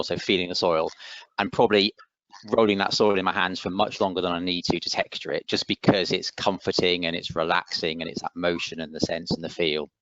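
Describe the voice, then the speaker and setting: Male meeting host, low-voiced, hesitant and appreciative, unscripted, on a muffled lo-fi call. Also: a bit bright, dry recording. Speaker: soil surveyor, meeting host. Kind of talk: appreciative off-the-cuff remarks. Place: online video meeting. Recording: dull, lo-fi online call.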